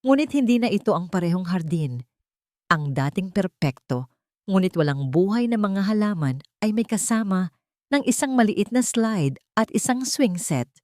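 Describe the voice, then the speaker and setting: A woman's voice: medium pitch, animated, moderate pace, a little mellow, dry recording. Woman narrator for audio drama, emotive storytelling, dry studio sound.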